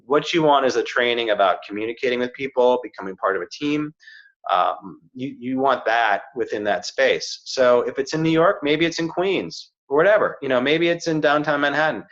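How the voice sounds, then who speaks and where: A man's voice: mid-range voice, normal speed, a bit bright. A middle-aged man giving career advice in a casual chat, earnest and often checking in with "right?" and "you know". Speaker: career coach. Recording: slightly dull, muffled audio.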